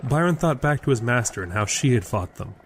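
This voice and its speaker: A man's voice: medium pitch, expressive, a little fast, faint music behind. A man reading scripted narration for a fantasy audio drama.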